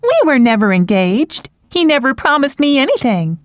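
A woman's voice: high voice, big pitch swings, normal speed, no room sound, studio quality, voice pushed up. A woman voicing a heartbroken, tearful young woman. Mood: distraught. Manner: pleading and tearful.

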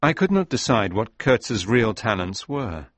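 A man acting as a sombre sailor looking back on his past in an audiobook. His voice is very low and sits close and dry, and he tells his story with grave, measured care. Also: very animated, no room sound. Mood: reflective, sombre. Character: Marlowe, sombre reflective male sailor recounting his past. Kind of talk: dramatised audiobook narration.

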